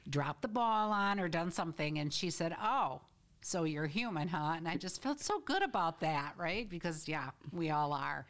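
An older woman, a veteran teacher, speaking as a guest in a casual podcast interview, reflective and playful. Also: low-pitched.

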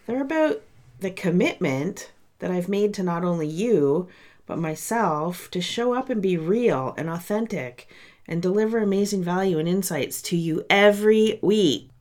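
A woman's voice: fairly low pitch, lively intonation, moderate pace, a little mellow. A woman hosting her own podcast in a chatty, candid way, her voice nasal and congested from a sinus cold. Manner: chatty and candid, speaks to listeners directly. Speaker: podcast host and coach. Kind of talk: casual solo podcast monologue.

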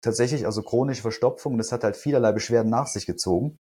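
A man's voice: medium pitch, quick pace, mellow, slightly rough. A man talking as a health and nutrition coach in a podcast conversation, explaining earnestly and with enthusiasm.

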